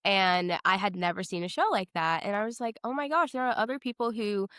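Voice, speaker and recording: A woman's voice: medium pitch, a little fast, fairly bright tone, no room sound, studio quality. A woman co-hosting a podcast interview in a casual, informal way, often dropping "like" into her sentences. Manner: informal, peppered with "like". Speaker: podcast co-host.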